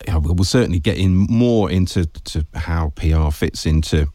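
A man's voice: low-pitched, normal speed, mellow, no echo. A man hosting an interview podcast, asking friendly questions with lots of fillers. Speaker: podcast host. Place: guest's office.

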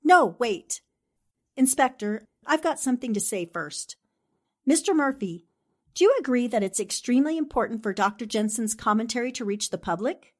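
A woman acts out a determined lady reporter from a 1940s radio mystery. Her voice sits fairly high and moves with lively swings. She is brisk, sharp and commanding. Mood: firm, persuasive. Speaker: actor in an amateur radio drama re-creation.

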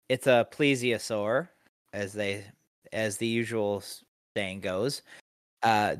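A man co-hosting a podcast in casual chat, dry and halting with many restarts. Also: mid-range voice, smooth, dry recording. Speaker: podcast co-host.

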